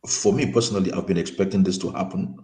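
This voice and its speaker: Deep-voiced man, dark and roomy. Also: steady tone, fast talker. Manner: quiet, soft-spoken. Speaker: panel guest. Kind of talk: casual football chat. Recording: quiet roomy remote line.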